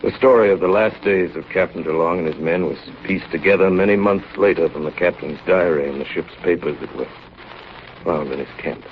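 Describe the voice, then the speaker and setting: An actor in a 1930s radio drama playing a grave naval officer who narrates in a dramatic manner. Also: male voice, sits low, a little fast, lightly acted. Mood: somber. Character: grave naval officer recounting a polar sea disaster. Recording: dull, very noisy 1938 radio recording.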